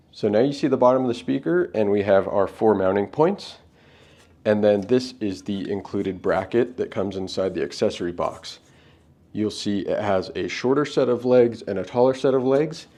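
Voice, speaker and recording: A man's voice: lowish voice, darkish tone. A man hosting an unboxing, giving casual, plain-spoken step-by-step setup instructions. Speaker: product unboxing host.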